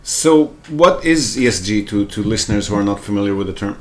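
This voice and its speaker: A man's voice: lowish voice, animated, fairly quick. A man hosting a podcast, giving an enthusiastic intro and then asking the guest questions. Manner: upbeat, enthusiastic. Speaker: podcast host.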